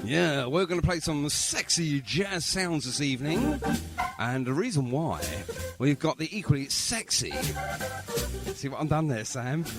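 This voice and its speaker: Male radio presenter, animated and fairly high, bantering and cheeky over music. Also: unhurried. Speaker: radio show host. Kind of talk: chatty, jokey radio presenting.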